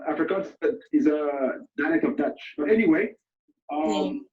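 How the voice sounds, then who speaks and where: A man's voice: a little high, unhurried, a crowd in the background. Man preaching over an echoey, dull call line. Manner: warm, repeats "you know", exclamations like hallelujah. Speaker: preacher answering questions. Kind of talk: casual spiritual talk on a call.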